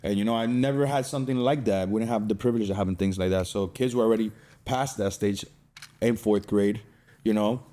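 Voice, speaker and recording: Low, mellow-voiced young man from Cuba. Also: a little fast. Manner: streetwise, slangy, swearing. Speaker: podcast guest, fighter. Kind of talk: casual personal storytelling.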